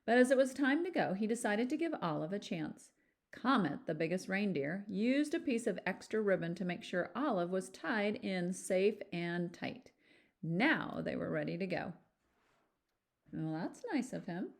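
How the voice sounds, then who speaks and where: Female storybook reader, teacher type, animated delivery, talks directly to children. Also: mid-range voice, moderate pace, creaky. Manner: animated, addresses young listeners with questions. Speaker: teacher reading a picture book aloud. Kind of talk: children's storybook read-aloud with chatty asides.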